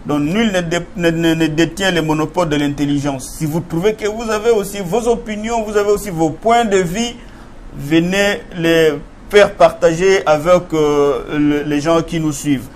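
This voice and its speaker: A man's voice: a little high, moderate pace, a little hiss or hum. A man hosting a studio interview, recapping his guest's points for viewers. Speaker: host.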